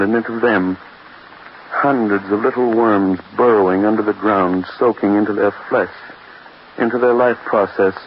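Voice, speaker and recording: An actor playing a haunted, despairing, doomed man in an old radio horror drama, with a brooding, theatrical, drawn-out delivery. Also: lowish voice, lightly acted. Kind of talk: 1930s-40s radio horror acting. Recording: noisy, muffled old radio broadcast recording.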